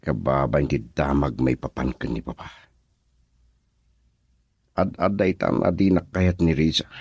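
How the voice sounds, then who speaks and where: A brooding Ilocano schemer. His voice is low and husky, and he mutters his monologue slowly in radio drama style. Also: steady tone, a little mellow, no room sound, clean studio sound, heavily acted.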